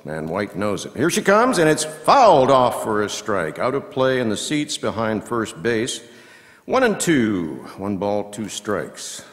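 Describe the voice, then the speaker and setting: A man performing as a folksy baseball radio commentator, calling play-by-play in measured, punchy phrases with dramatic pauses. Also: medium pitch. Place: live reading in a large reverberant hall. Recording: echoey hall with long reverb.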